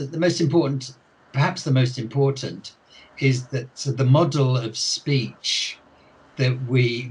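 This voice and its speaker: Slow, husky older man musing aloud. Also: mid-range voice, poor audio. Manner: thoughtful, many restarts and hesitations. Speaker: author and ELT expert guest. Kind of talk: unscripted interview talk.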